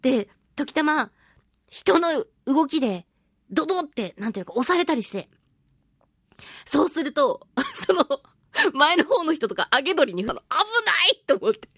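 A woman's high voice with very lively, swooping intonation, chatting casually as a radio host. She spins a personal anecdote playfully and poking fun at herself, in a close, dry recording. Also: no echo. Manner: animated, chatty storyteller with playful self-mockery. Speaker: host of a talk radio show, voice actress.

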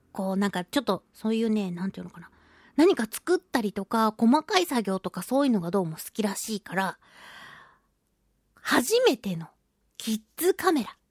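A woman's voice: medium pitch, no room sound, studio quality. A woman hosting a casual solo radio talk, chatty and giggly, playfully slipping into mock-polite phrases as she narrates. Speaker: radio show host and voice actress.

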